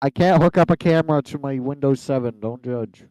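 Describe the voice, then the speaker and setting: Mellow-voiced guy chatting on a stream. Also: mid-range voice, a little fast, no echo, rough recording. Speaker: co-host on a reaction livestream. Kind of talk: casual chat over a reaction stream.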